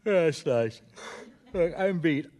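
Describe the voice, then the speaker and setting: An actor playing a weary, deadpan, grumbling private detective in a radio mystery drama. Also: male voice, on the high side, takes it slowly. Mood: weary, unimpressed. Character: weary, dry private detective, middle-aged man.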